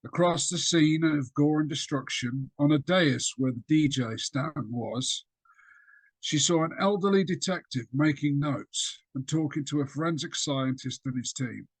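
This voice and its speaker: Husky guy reading his own story on a video call, dry sound. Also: on the high side, a little slow, no room sound, rough recording. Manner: steady storytelling read with small slips. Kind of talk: reading a fiction extract aloud.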